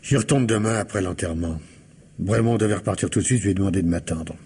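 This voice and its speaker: A man's voice: low-pitched, fast, a little mellow, breathy edge, exaggerated voice. A man acting as a patient, doggedly suspicious police investigator in a French radio mystery, speaking conversationally and insistently. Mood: pensive, suspicious. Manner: conversational, questioning, hesitant and insistent.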